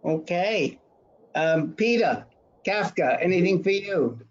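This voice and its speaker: A man's voice: sits high, lively intonation, slow, highs cut off, slight background noise. A man moderating a support group in a casual, chatty, joking way over an online call.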